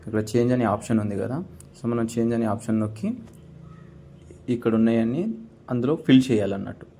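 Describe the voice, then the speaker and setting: A man's voice: medium pitch, steady tone, quick pace, warm tone, slight background noise. A young man giving a casual step-by-step gaming tutorial as host.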